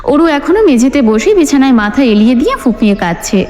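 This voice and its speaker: A woman's voice: sits high, sing-song, fairly quick, dark tone. A woman narrating a scripted dramatic story as an audiobook reader. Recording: long hall reverb with music bed. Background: music.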